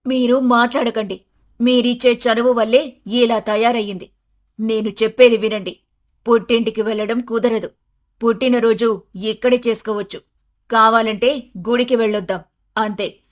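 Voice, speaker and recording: A woman's voice: fairly high pitch, fairly even intonation, normal speed, long pauses, close to an everyday voice. A woman voicing a stern, old-fashioned older mother-in-law in a family story, bossy and emphatic. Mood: scolding, stern.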